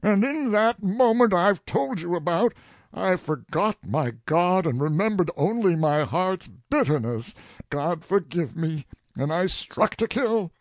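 A high, gravelly old country man, as a male reader puts him on, pours out a raging, anguished confession in a storybook reading. He talks slowly and forcefully in folksy rustic dialect. The sound is dry and close. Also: animated, no echo. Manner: slow, forceful, impassioned.

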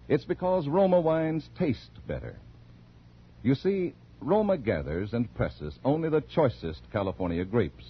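A man's voice: mid-range voice, breathy edge. An American man announcing as a sponsor's spokesman, reading a 1940s radio advertisement. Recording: dull 1940s radio broadcast recording.

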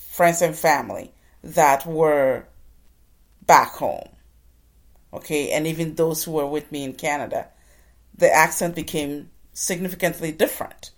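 A woman from Ghana giving an inspirational talk, telling her own story in an earnest, preacher-like way. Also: low voice. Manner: earnest, preacher-like addresses to the audience. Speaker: motivational speaker.

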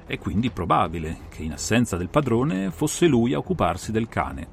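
A low-voiced, self-assured male detective acted by a man reading an audiobook lays out his case over faint music. His delivery is theatrical and methodical, with wide swings of intonation and measured, lecturing phrases. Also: creaky. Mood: explanatory, deliberate. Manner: measured, didactic, theatrical explanation. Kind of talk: dramatised audiobook narration.

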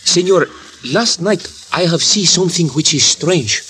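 A hushed Spanish-accented witness. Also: male voice, high-pitched, unhurried, rough recording. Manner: broken English, hushed storytelling. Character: Mexican man, a wary nighttime witness. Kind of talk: 1950s radio drama acting. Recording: old radio broadcast with faint hiss.

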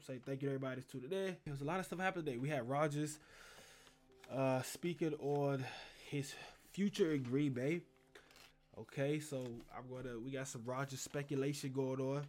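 Casual male sports host, congested, rambling and profane. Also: fairly high pitch.

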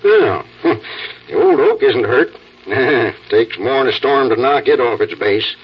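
A man voicing a gentle, chuckling farmer father with homespun wisdom in a 1940s radio drama. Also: mid-range voice, animated, takes it slowly, breathy edge, heavily acted. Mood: amused, reassuring. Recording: muffled distant old radio recording.

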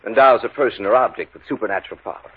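An actor playing an obsessed, preoccupied husband with an intense, clipped manner, in 1950s radio drama style. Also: male voice, fairly low pitch, rapid-fire, breathy edge. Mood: intrigued, reasoning. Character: husband obsessed with a cursed silver flask. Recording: muffled, hissy old radio recording.

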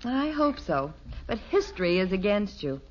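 An actress playing a patient middle-aged housewife, soothing and gently skeptical, in a 1950s radio comedy. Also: female voice, medium pitch, very animated, moderate pace, soft background music, close to an everyday voice. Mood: wry, calm. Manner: soothing, dryly wry. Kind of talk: 1950s radio sitcom acting. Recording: muffled vintage 1950s radio recording.

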